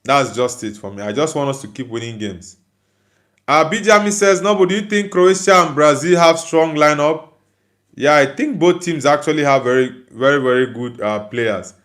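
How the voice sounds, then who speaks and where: Nigerian man with a fairly high voice. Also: expressive, normal speed, a bit bright. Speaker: football livestream host. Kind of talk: casual live chat, reading and answering viewer comments.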